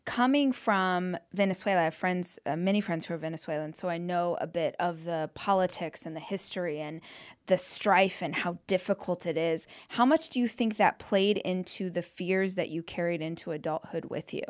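A woman's voice: lowish voice, moderate pace, dry recording, studio quality. A woman hosting a podcast interview who reflects aloud with enthusiasm. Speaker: podcast host. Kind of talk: conversational interview.

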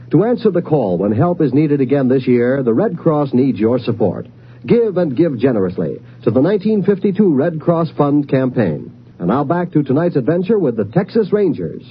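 A man's voice: fairly high pitch, slight background noise. A man reading a scripted 1950s radio charity appeal as announcer, in an earnest, emphatic way. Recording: dull vintage radio recording.

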